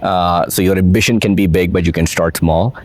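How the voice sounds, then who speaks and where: Deep-voiced Pakistani man, reflective. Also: fairly even intonation, a little fast, darkish tone. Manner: reflective, storytelling, mixes in Urdu phrases. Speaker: guest, venture capitalist. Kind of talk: relaxed interview conversation.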